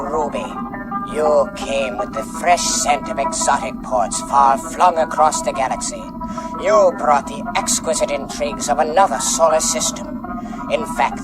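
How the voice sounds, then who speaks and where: A smug android colonel for a radio play. The delivery is grandiloquent and condescending, with a pitch that swoops widely, set over prominent music. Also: male voice, low-quality recording. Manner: formal, florid, condescending. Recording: music behind the voice.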